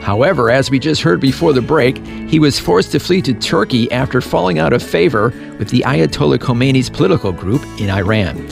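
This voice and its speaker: Male host, gravelly, measured read, music bed behind. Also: mid-range voice, animated. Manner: measured, reads introductions evenly. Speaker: radio show host. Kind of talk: scripted radio hosting and interview.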